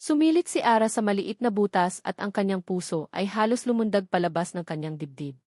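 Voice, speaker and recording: A woman's voice: medium pitch, expressive, fairly quick, darkish tone, no room sound. Woman narrating a dramatic romance story, dry recording.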